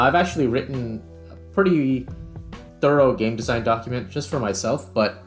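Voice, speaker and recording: A middle-aged man who works as a game developer, casually narrating a screen-recorded tutorial. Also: mid-range voice.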